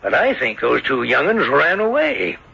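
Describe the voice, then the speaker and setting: An actor playing a folksy, grumbling farmer with a gravelly voice and a rustic, gossipy manner in an old radio drama. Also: male voice, mid-range voice, wildly swooping pitch, moderate pace, pushed and bright, full character voice. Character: folksy, grumbling male farmer, father of grown son. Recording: dull, muffled old radio recording.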